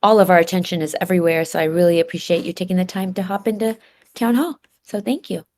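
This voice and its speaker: A woman's voice: on the low side, fast, a little mellow. A middle-aged woman with an American accent co-hosting a casual chat in an appreciative, informal way. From Los Angeles, USA. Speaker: town hall co-host.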